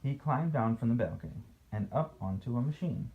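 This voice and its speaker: A man's voice: mid-range voice, expressive, brisk, narrow, tinny audio, slight background noise. A man reading a picture book aloud as a father to a child, playful, with casual asides and questions.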